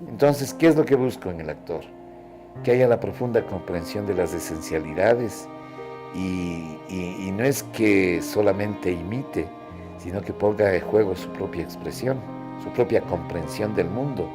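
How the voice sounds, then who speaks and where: A man's voice: sits low, animated, a little slow. A man giving earnest interview commentary as a director. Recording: music behind the voice.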